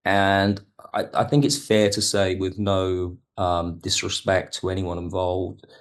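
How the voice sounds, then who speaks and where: Deep-voiced, thoughtful man in interview. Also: flat delivery, unhurried. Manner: thoughtful, analytical, hedging. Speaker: podcast guest, audio drama writer. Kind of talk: reflective interview talk.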